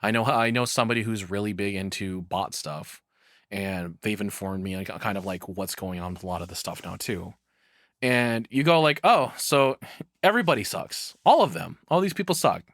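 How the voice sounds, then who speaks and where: Low-pitched man, casual reaction commentary. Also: moderate pace, a bit bright, no echo, studio quality. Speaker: reaction streamer.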